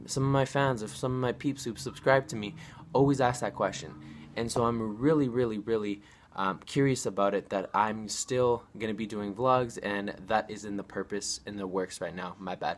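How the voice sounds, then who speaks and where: A man's voice: mid-range voice, fairly bright tone. A nineteen-year-old man speaks to his viewers in a loose, rambling vlog. He circles back over the same points with earnest restarts. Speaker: vlogger. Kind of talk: casual direct-to-camera vlog.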